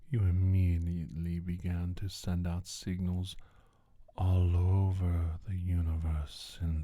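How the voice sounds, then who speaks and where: A man playing a menacing, scornful alien scientist in a soft-spoken ASMR roleplay, speaking slowly and deliberately in a breathy, raspy whisper. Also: low voice, no room sound, exaggerated voice. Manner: slow, deliberate, condescending.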